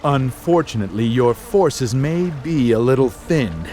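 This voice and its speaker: An actor playing a formal military envoy delivering orders with dry, smug understatement in a fantasy video game. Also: male voice, medium pitch, animated, unhurried, a little mellow, natural voice. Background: faint game music.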